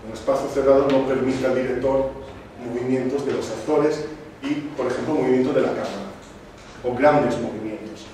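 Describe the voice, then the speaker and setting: A man from Sardinia, presenting a film to a cinema club audience, thoughtful and full of pauses and restarts. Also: mid-range voice, steady tone, dark tone. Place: cinema club screening room. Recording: echoey room, distant mic, noisy.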